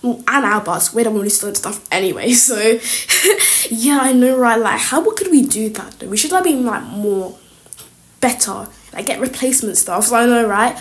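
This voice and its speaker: Woman as schoolgirl, high-pitched, sassy, slangy, chatty, scheming, improvised school role-play. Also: expressive, normal speed, lightly acted. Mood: gossipy, complaining. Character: scheming, cliquey schoolgirl plotting against teachers.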